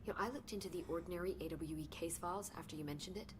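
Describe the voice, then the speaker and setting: An actress playing an eager researcher in a video game, explaining findings in a brisk, informative way. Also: female voice, lowish voice, natural voice. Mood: curious. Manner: brisk, informative, slightly excited. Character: eager female bureau researcher, brisk and curious. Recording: game audio with clear noise.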